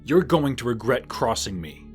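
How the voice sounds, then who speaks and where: A man's voice: medium pitch, sing-song, moderate pace, lightly acted. A man plays a bitter, scheming bounty hunter from an audio drama. He is dour and threatening, with sarcasm in lines that rise and fall widely. Music plays behind him. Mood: bitter, menacing.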